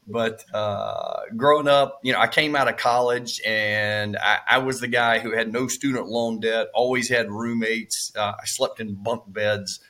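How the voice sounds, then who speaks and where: Middle-aged man, raspy with a cold. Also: lowish voice, steady tone, unhurried, sharp tone. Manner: rambling, many fillers and restarts. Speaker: real estate investor guest. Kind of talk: casual storytelling interview.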